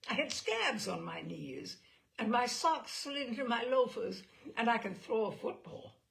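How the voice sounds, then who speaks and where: A woman giving a theatrical, expressive reading of a comic personal essay, with emphatic phrasing. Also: a little high, a little slow, vocal fry. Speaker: reader of literary selections.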